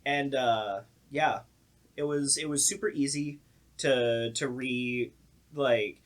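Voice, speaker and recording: A man's voice: medium pitch, fairly even intonation, slow. Casual guy chatting about directing. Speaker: radio play director. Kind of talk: casual group podcast chat.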